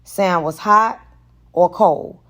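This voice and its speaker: A woman reading romance fiction aloud as an audiobook narrator, in a deliberate and expressive way. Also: lowish voice. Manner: deliberate, acts out dialogue. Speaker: audiobook reader.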